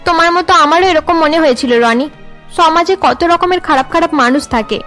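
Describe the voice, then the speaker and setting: A woman's voice: high-pitched, a little fast, fairly bright tone, faint music behind. A woman voicing a worried young college student in a cartoon drama, earnest and downcast. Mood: earnest, concerned.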